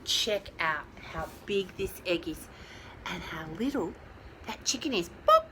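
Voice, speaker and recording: A woman reading aloud to young children, adding chatty asides and questions to listeners, with drawn-out emphasis on key words. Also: fairly low pitch, animated, noisy background. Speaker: children's storyteller. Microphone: phone held nearby.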